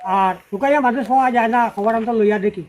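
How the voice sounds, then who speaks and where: A man's voice: very high-pitched, fast, slight background noise. A middle-aged man with a Sylheti accent telling a personal account in a rambling, repetitive, unscripted way. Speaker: father-in-law telling his family's story.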